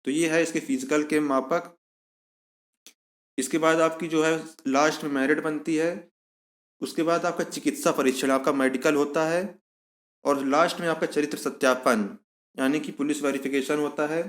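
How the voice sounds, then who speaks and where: A man's voice: on the high side, fairly even intonation, moderate pace. A man giving an instructional walkthrough of an official notice, repeating key points for emphasis. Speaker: exam information channel host. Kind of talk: explanatory walkthrough of a recruitment notice.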